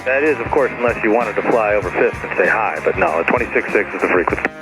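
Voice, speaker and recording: A man with a lowish voice rattles off traffic instructions as an air controller. He is brisk and informal over aviation radio, with music behind him. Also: rough recording.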